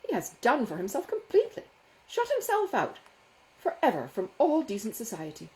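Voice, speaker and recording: A woman voicing a foppish, self-satisfied young gentleman in a classic novel, with an affected, gushing, condescending manner. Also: on the high side, wildly swooping pitch, normal speed, voice pushed up, poor audio. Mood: affronted. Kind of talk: dramatised audiobook dialogue from a classic novel.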